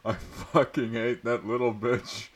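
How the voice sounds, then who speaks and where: A man's voice: mid-range voice, fairly even intonation, a little slow, lightly acted. A man voicing an angsty, melodramatic teenage goth girl in a story reading, exclaiming and close to laughter. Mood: gushing, scornful.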